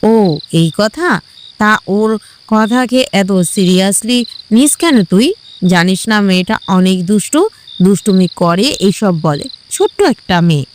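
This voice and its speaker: A woman's voice: medium pitch, animated, unhurried, a little hiss or hum, lightly acted. A woman playing a middle-aged mother in an audio story, reproving and dismissive of complaints. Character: indulgent mother chiding her grown son. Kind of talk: Bengali audio story acting.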